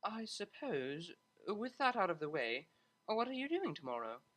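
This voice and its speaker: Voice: mid-range voice, very animated, moderate pace, fairly bright tone, pitched above the performer's own voice. A narrator as a prim, refined pony cellist. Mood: polite, hesitant. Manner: proper, hesitant, formal wording.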